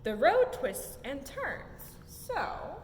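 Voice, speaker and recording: A young woman reads a children's picture book in a sing-song, highly animated voice that sits on the high side. Her delivery follows the rhymes with emphatic rises and falls. She is recorded in an echoey, reverberant room. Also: extremely slow. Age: young adult. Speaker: story time reader at a museum. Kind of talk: expressive children's picture-book read-aloud. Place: indoor museum exhibit room. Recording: echoey room with low rumbling noise.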